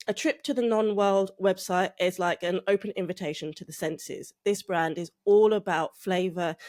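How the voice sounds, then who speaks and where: A woman hosting a podcast interview in a bubbly, effusive way, a little croaky from a cold. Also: on the low side. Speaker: podcast host.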